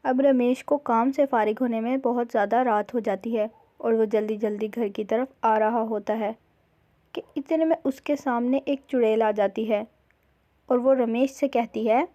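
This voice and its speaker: A woman's voice: fairly high pitch, fairly even intonation, normal speed, dark tone. A woman storyteller reading scripted narration for a cartoon village tale. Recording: slightly dull, lo-fi sound.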